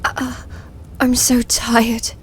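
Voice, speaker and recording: A woman's voice: on the high side, completely flat delivery, extremely slow, a little hiss or hum. A woman voicing a starving, desperate, exhausted castaway woman in a dramatic story, pleading and frantic.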